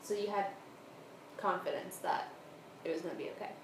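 A woman's voice: fairly low pitch, steady tone, normal speed. Woman interviewer in an echoey room. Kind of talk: prepared oral history interview questions. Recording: roomy echo, clear background noise.

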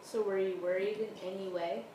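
Lady doing an oral history interview, mid-range voice, echoey room with clear noise. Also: medium pitch, fairly even intonation, normal speed. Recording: roomy echo, clear background noise.

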